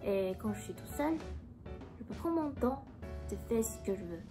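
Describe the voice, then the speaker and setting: A woman's voice: on the high side, lively intonation. A young woman from Taiwan, speaking French as an in a casual, hesitant chat while answering a quiz. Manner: soft-spoken, hesitant, pauses to find words. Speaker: vlogger. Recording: background music under the voice.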